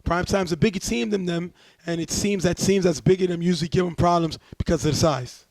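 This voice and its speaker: A man hosting a casual sports talk show, a bit hoarse as he loses his voice, breaking down plays in a chatty way. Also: high voice, fast, dry recording. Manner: relaxed, chatty play-by-play breakdown. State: losing his voice. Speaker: show host, sports commentator.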